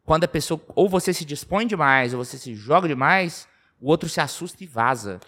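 A man's voice: fairly high pitch, animated, brisk, fairly bright tone. A man in his twenties with a Brazilian Portuguese accent, co-hosting a casual podcast and explaining his views with examples. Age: 20s. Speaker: podcast co-host. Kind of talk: casual chat.

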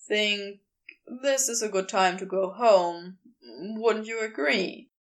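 Woman voicing a curt, guarded man, pitched down. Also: slow pace. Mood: awkward, strained. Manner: flat, curt, strained. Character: awkward, guarded, deadpan man, curt and evasive. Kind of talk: fan fiction audiobook character voice.

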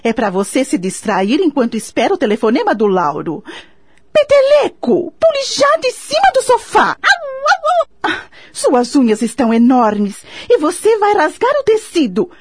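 Woman acting kindly fussy Brazilian lady, high-pitched, dry close sound, doting, scolding baby talk, radio drama. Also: wildly swooping pitch, moderate pace, a little mellow, slightly rough, dry recording, heavily acted. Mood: fussy, cooing scolding. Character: kindly older neighbor lady, doting mother to her dog.

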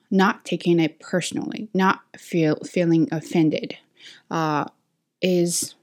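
A woman hosts a relaxed solo podcast, speaking English as a second language. Her voice sits a little low, and she thinks out loud with frequent fillers and self-corrections. The sound is picked up by her camera's microphone. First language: not English. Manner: thinking aloud, many fillers and restarts. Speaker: podcast host and teacher. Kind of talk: casual solo podcast talk. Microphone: camera mic.

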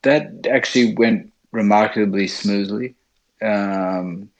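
A middle-aged man with an Australian accent telling his story as an interview guest, speaking in a hesitant, halting way. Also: fairly low pitch, dull audio. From Sydney, Australia. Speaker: interview guest, bush pilot.

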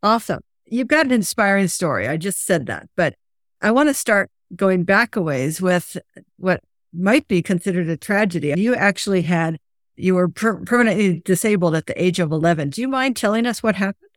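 A woman's voice: on the low side, expressive, brisk, dry recording, clean studio sound. A woman hosting an interview podcast, upbeat and encouraging as she introduces and questions her guest. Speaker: podcast host.

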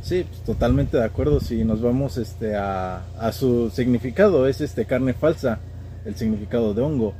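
A man's voice: mid-range voice, normal speed, warm tone, a little hiss or hum. A young man with a central Mexican accent, telling stories in a casual, slangy way as a podcast guest. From State of Mexico, Mexico. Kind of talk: casual conversational storytelling.

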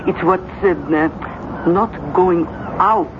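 An actress playing a gossipy, chatty French fishmonger in a 1970s radio drama, with a folksy, conspiratorial manner. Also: female voice, on the low side, slow. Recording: noisy, muffled 1970s radio broadcast.

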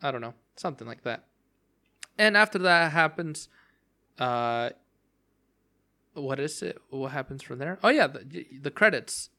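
Young man, podcast host, bright tone, rambling, filler-heavy, voice cracking. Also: on the high side, lively intonation, a little slow, long pauses. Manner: rambling, many fillers and restarts. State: voice cracking from long talking. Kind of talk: casual solo game talk.

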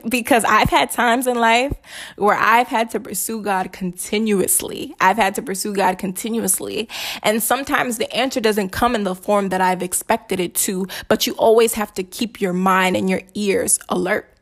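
A woman's voice: medium pitch, moderate pace, a bit bright. A young woman telling a personal faith testimony in a chatty, slangy way, repeating phrases for emphasis and preaching casually as she goes. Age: young adult.

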